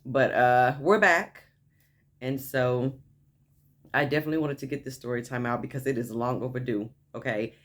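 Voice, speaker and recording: A woman with a naturally deep voice telling a story to her viewers in a chatty vlog, often tossing in "okay?" as she hypes things up. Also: moderate pace. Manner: chatty, frequent "okay?" asides, hyped enthusiasm. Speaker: vlogger. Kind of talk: casual story time vlog.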